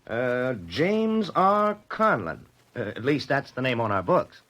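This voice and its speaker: A man's voice: medium pitch, big pitch swings, slow pace, fairly bright tone. A man playing a helpful, fussy official in a 1940s radio drama, hesitant as he explains things. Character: helpful, fussy male doctor or auction clerk. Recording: 1940s radio broadcast recording.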